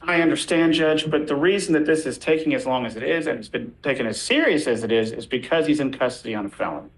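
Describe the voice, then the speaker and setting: Man, defense lawyer, medium pitch, formal courtroom argument, polite, insistent. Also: fast, low-quality recording.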